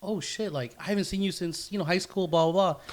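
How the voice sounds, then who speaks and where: A man's voice: high-pitched, brisk, darkish tone. A man chatting casually as a podcast host, rambling through stories with slang and swearing. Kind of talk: casual chat.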